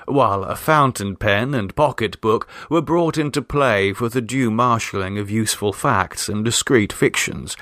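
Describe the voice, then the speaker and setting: A man narrates a classic short story with an animated, varied delivery at a middle pitch. The sound is close and dry, in the style of a scripted audiobook reading. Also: no echo. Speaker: audiobook reader.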